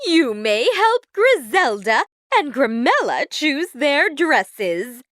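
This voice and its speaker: A woman's voice: high-pitched, big pitch swings, takes it slowly, fairly bright tone, no room sound, clean studio sound, voice pushed up, heavily acted. A woman voicing a haughty, bossy, cruel stepmother in a storybook, with an imperious, exclamatory manner. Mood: proud, condescending.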